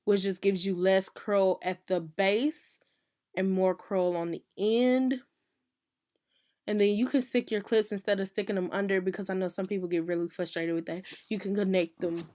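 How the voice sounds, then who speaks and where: Lady doing a hair tutorial, hoarse and losing her voice, chatty, keeps correcting herself. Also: lowish voice, darkish tone. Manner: chatty, uses "y'all", self-correcting. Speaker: hairstylist teaching a tutorial. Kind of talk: casual how-to tutorial.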